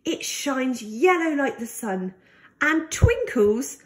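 Very slow, high-pitched, expressive woman storyteller. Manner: deliberate, gentle, animated delivery for young children. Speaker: storyteller for young children. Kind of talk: expressive read-aloud of a picture book.

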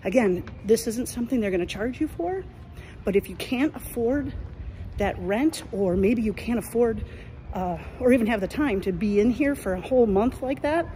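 A woman's voice: fairly high pitch, expressive, normal speed, dark tone, a little hiss or hum. A middle-aged woman chatting enthusiastically as a business coach, filming herself on a phone while walking through an indoor mall. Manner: chatty, enthusiastic, points things out as she walks. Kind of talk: walking selfie vlog. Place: large indoor shopping mall. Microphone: phone held at arm's length.

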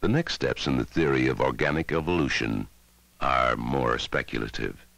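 A man narrating a scripted educational film in a measured, dramatic way, on a 1960s film soundtrack. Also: extremely low pitch, vocal fry, fairly bright tone, no room sound. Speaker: documentary narrator.

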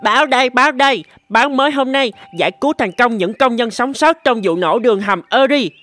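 A street newspaper hawker in a cartoon, calls out loudly. The voice is very high and piercingly bright. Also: male voice, fairly quick. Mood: loud hawking. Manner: calling out loudly. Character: street newspaper seller hawking the morning news. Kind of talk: cartoon dubbing.

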